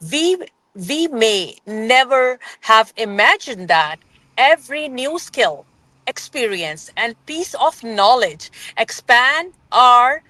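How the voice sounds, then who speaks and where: A woman speaks on an online panel. She reads her text very slowly and carefully. Her voice is a bit high, and the sound is dry and close. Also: bright, forceful tone, dry recording, poor audio. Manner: slow, careful reading.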